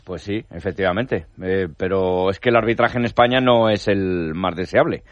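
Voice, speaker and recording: A man giving the sports news on radio, measured, with frequent hesitant fillers. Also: low voice, no echo, highs cut off. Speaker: sports reporter. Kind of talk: radio sports report and chat.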